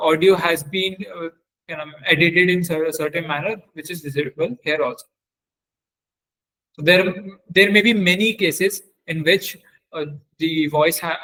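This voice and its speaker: Higher-pitched man, halting webinar trainer. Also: bright, forceful tone, rough recording. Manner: halting, searching for words. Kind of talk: software demonstration lesson.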